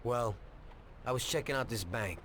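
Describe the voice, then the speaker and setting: An actor playing a greedy, chummy small-time American mobster in a 1930s crime game, with slangy, cajoling streetwise talk. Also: male voice, mid-range voice, normal speed, a bit bright, slight background noise, lightly acted. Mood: persuasive, defensive. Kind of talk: video game cutscene acting.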